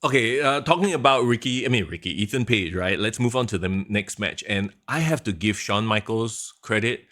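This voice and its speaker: A young man co-hosting a wrestling podcast, talking in an animated way and acting out quotes as he explains. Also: lowish voice, fairly quick, hardly any pauses. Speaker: podcast co-host. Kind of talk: casual wrestling chat.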